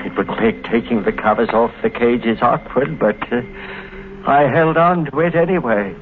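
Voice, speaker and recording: An actor playing a tormented, protective husband in a 1950s radio thriller, halting and intense in his melodrama. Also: medium pitch, close to an everyday voice. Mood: brooding. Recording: noisy, tinny 1950s radio recording.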